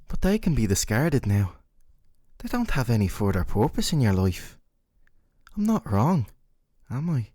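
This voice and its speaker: Male actor as doting, possessive game boyfriend for ASMR roleplay; soft, dark timbre, very lively intonation. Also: medium pitch, no echo, studio quality. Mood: possessive, coaxing. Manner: soft, coaxing, articulate, teasing tag questions. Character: possessive, gentle yandere dating-sim boyfriend, doting and smug. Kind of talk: scripted ASMR roleplay monologue to the listener.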